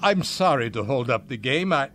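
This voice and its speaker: Radio drama role: male actor as weary, pained old husband, halting and stammering, swinging pitch, faint music. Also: expressive, fairly bright tone, natural voice. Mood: weary, ashamed. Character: frail, pained elderly husband, weary and desperate.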